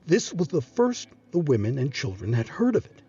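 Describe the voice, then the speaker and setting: A man narrating scripted history as a series host, with a dramatic, measured storytelling delivery. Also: a little high, huge pitch swings, dark tone, husky, highs cut off.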